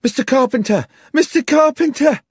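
Man putting on a frantic, high-pitched young woman. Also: animated, moderate pace, husky, no room sound, clean studio sound, heavily acted. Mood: panicked. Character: frantic, shrieking young barmaid bringing terrible news.

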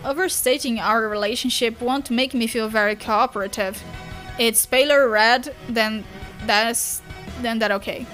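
A woman voicing a composed young female troll who speaks in a measured, prim and wry way. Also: fairly high pitch, steady tone, a bit bright, soft background music. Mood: dry, prim.

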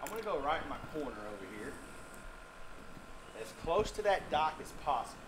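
A man's voice: a little high, noisy background. A man hosting a fishing vlog from a boat by a dock, exclaiming and laughing as he talks. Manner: excitable, exclaiming, quick laughs. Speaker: fishing channel host. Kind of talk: excited outdoor fishing vlog.

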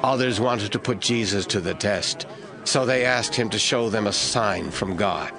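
A man's voice: lowish voice, a little slow, over music. A man giving a scripted, dramatic narration of scripture as the narrator of an audio drama.

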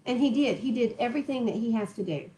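A woman's voice: a little high, quick pace, dark tone, rough recording. A woman leading a home Bible study, stressing words and joking with the group, recorded by a camera in a living room. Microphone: far camera in the room.

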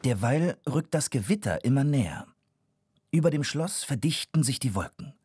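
A man's voice: mid-range voice, lively intonation, normal speed, a little mellow, a little hiss or hum. A man narrating a children's radio play from a script.

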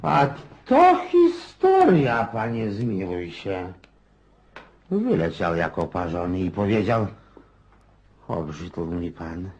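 An actor playing a garrulous, restless old Russian country landowner in a radio drama, rambling in a folksy way. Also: male voice, deep voice, sing-song, takes it slowly, background noise, heavily acted. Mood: baffled, hurt. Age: older. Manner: rambling, folksy, muses aloud.

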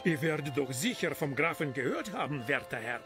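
A stiff, courtly manservant of a count, played by a man for an audio drama. He is haughty and elaborately polite. His fairly high voice moves a lot in pitch over clear background music. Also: big pitch swings, fairly quick. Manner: formal, archaic politeness.

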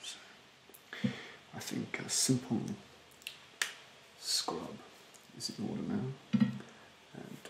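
A young man playing a rude, snobbish facial therapist in a soft-spoken, often whispered ASMR roleplay, with a haughty, halting delivery. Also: lowish voice, expressive, gravelly, reverberant, noisy background, exaggerated voice. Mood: haughty, fussy. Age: young adult. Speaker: ASMR performer. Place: small bedroom, indoors. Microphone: close to the microphone.

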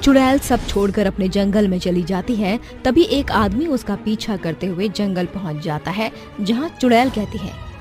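A woman's voice: mid-range voice, normal speed, darkish tone, faint music behind. A woman narrating a horror cartoon story with dramatic storyteller delivery.

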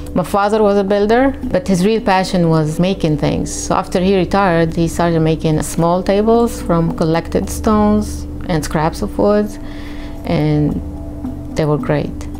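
Lebanese-born female potter, 40s, interview; slow reflective delivery, low pitch, clear music under her. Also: darkish tone. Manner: unhurried, reflective, with frequent fillers. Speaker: ceramic artist. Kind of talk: interview storytelling. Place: home studio in a dining room. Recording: music bed behind the voice.

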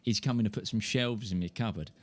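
A man's voice: on the low side, quick pace. A young man from southern England with a mild Kentish accent and a nasal voice, rambling and joking at himself as he chats to viewers while playing a game at home. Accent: southern English, mild Kentish. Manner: rambling, self-mocking, laughs often. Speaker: streamer. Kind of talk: casual live-stream chat while playing. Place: indoor home room, gaming chair. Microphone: desk mic in front of him.

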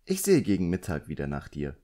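A man's voice: deep voice, wildly swooping pitch, a little fast, mellow. A man voicing a fussy, caring middle-aged magician's wife, motherly and chatty, in an audiobook.

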